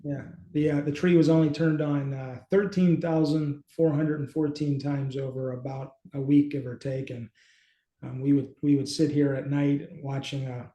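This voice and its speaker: A man in his thirties chatting informally as a co-host, thinking aloud in a halting way on a video call. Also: fairly high pitch, little pitch movement, warm tone. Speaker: co-host, app company team member. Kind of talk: casual, informal demonstration chat. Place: small home office indoors. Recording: echoey room on a video call.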